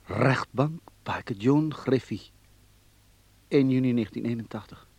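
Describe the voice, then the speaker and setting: A terse male announcer in a radio drama. His voice is husky and medium in pitch, giving short, factual announcements. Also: unhurried, a little mellow. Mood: neutral. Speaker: announcer of dates and letterheads.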